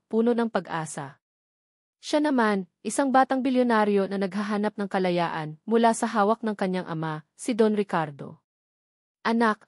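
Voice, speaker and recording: A woman's voice: medium pitch, a little mellow, no room sound, clean studio sound. A woman reading a scripted dramatic story as its narrator.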